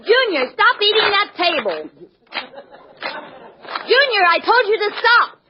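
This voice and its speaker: A woman's voice: extremely high pitch, sing-song, unhurried, exaggerated voice. An actress playing an exasperated, bossy mother scolding her boy in a 1940s radio comedy. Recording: noisy, muffled 1940s radio with studio audience.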